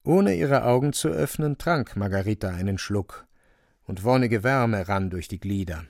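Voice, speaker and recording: An actor narrating a dark fantastic radio play as a grave, watchful storyteller. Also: male voice, on the low side, animated, moderate pace, vocal fry, dry recording, clean studio sound. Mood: ominous wonder.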